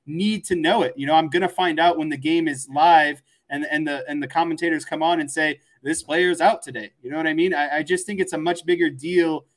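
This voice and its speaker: Higher-pitched young American man. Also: fairly even intonation, a little fast, hardly any pauses, rough recording. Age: 20s. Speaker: sports show co-host. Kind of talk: casual sports discussion.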